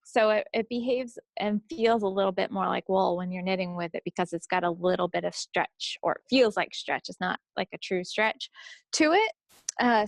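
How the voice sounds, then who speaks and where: A middle-aged woman hosting a podcast, talking casually about products in an informal, halting way and often circling back to rephrase. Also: mid-range voice, vocal fry, dry recording. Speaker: podcast host presenting yarn picks.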